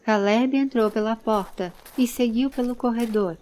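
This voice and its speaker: A woman with a Brazilian accent giving measured, dramatic storytelling narration for a scripted audio drama. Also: on the high side, darkish tone. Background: background music.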